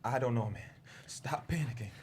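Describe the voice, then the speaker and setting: An actor playing an excitable, foul-mouthed, slangy male housefly in a comedy table read. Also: mid-range voice, normal speed, slight background noise, lightly acted. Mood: dry, subdued. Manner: loud, emphatic, slangy exclamations.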